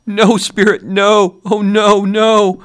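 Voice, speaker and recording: A man's voice: mid-range voice, lively intonation, unhurried, a bit bright, pitched above the performer's own voice. A man voicing a frightened old male miser who begs a ghost in earnest, old-fashioned speech, his voice strained and rising to anguished cries. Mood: desperate pleading. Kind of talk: audiobook character voice.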